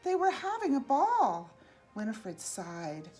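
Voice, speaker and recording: Slow, very lively woman reading a children's story. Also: fairly high pitch, faint music behind. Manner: expressive, singsong, deliberate delivery. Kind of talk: read-aloud children's storytelling in rhyme.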